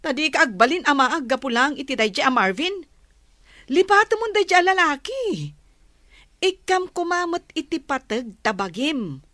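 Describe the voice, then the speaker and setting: Bright, forceful, scolding confidante. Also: female voice, sits high, very animated, moderate pace, dry recording, clean studio sound, full character voice. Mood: exasperated. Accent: Ilocano. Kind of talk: Ilocano radio drama acting.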